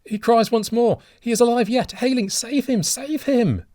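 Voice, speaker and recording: A man's voice: extremely high pitch, normal speed, dark tone, dry recording, clean studio sound, pitched above the performer's own voice. A man voicing a desperate old father who shrieks and begs for his drowning son. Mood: wild desperation. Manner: shrieking, pleading, breathless.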